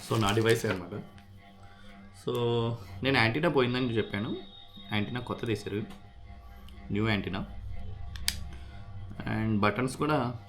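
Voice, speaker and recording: A man's voice: fairly low pitch, moderate pace, pauses often, fairly bright tone, soft background music. A man talking casually as a vlogger, explaining things and mixing in English words. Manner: mixes English words into his speech. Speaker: vlogger sharing a product repair experience. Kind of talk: casual explanatory vlog with unboxing.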